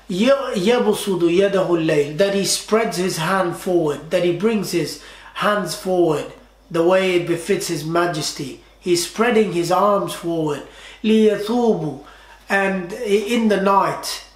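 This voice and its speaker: An imam delivers an earnest Friday sermon in a fairly high voice. He repeats key phrases for emphasis and recites Arabic passages quickly. His voice rings through an echoey prayer hall. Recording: echoey room.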